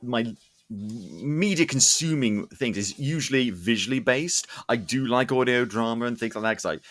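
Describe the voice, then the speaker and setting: A man's voice: medium pitch, normal speed, a bit bright, no room sound. A man hosting a podcast, talking in a casual, enthusiastic and rambling way about what he likes. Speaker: podcast host and reviewer. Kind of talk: casual enthusiastic interview chat.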